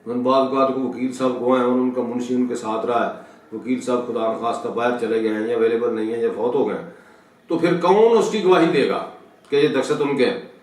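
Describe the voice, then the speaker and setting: A man's voice: medium pitch, fairly quick, a little mellow. Pakistani guy doing a law lecture, super echoey room, mic kinda far away, explains stuff then says it again. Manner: didactic, repeats and rephrases points. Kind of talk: explanatory law lecture, Urdu with English legal terms.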